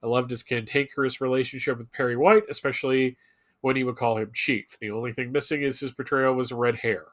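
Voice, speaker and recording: A man's voice: mid-range voice, fairly even intonation, fairly quick, a bit bright. A man co-hosting a live show, chatting casually and reading viewer messages aloud.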